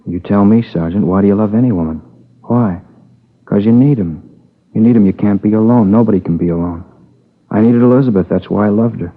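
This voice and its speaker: A man's voice: lowish voice, fairly quick. An American actor playing a troubled, needy young man confessing to a killing in a 1950s radio drama, brooding and pleading in short repeated phrases. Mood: anguished, defensive. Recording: tinny old radio recording with long reverb.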